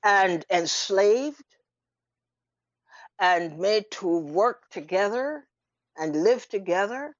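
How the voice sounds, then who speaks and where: A woman giving unscripted radio commentary in an emphatic, deliberate manner, with rhetorical questions and pauses. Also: medium pitch, expressive, no room sound. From Trinidad. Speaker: radio talk show host. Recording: dull lo-fi radio audio.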